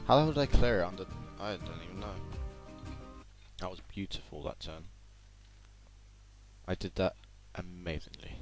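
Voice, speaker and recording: A man's voice: low voice, expressive, moderate pace, pauses often, low-quality recording. A young man giving casual let's play commentary, chatty and jokey as he talks over the game. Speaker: gaming video creator. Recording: game music and doubled game audio behind. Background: video game music and sounds.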